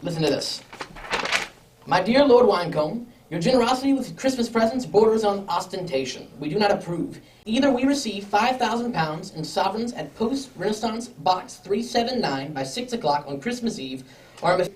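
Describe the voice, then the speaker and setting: A woman's voice: mid-range voice, expressive, normal speed, darkish tone, recorded from a distance, natural voice. A woman playing a polite, well-off lord with a formal, slightly hesitant manner in a radio drama. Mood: earnest, worried. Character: well-off, courteous English lord, earnest client. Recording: echoey room, off the microphone.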